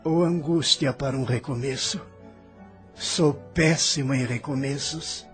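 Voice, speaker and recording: Man acting slow, bitter, bewildered Brazilian dreamer. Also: medium pitch, faint music behind, natural voice. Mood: weary, doubtful. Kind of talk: audio drama acting.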